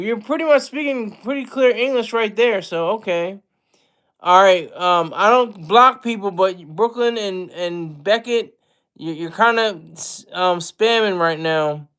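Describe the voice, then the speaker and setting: Streamer, male, high-pitched, rambly casual chat with viewers, lots of restarts. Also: fairly bright tone, clear, resonant tone. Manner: loose, rambling, with frequent restarts and chuckles. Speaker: livestream host reading chat.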